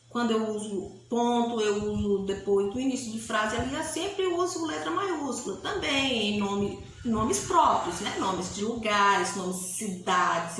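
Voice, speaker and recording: A woman with a Bahian Brazilian accent teaches in a slow, deliberate way, repeating her key points for pupils. Her voice sits a little high and rings in a very echoey room with faint noise behind it. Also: fairly bright tone. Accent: Bahian Brazilian Portuguese. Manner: didactic, repeats key points, often checks with tag questions. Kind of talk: recorded grammar lesson for pupils.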